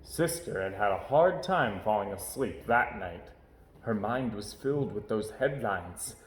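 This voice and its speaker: A man narrating a children's picture book aloud in an expressive storytelling style. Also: lowish voice, unhurried, slight background noise. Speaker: storybook reader. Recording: roomy, echoey indoor sound.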